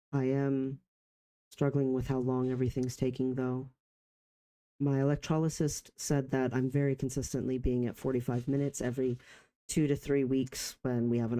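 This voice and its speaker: A man of about forty talking reflectively in a personal vlog, halting in short phrases with pauses. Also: mid-range voice, mellow, poor audio. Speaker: vlogger.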